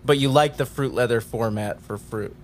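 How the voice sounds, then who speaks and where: A man plays a meek, earnest bank worker in an improvised audio drama. His voice sits at a medium pitch, with some noisy rumble behind it. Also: normal speed, close to an everyday voice. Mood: wounded, resigned. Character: mild, earnest, easily hurt male bank worker.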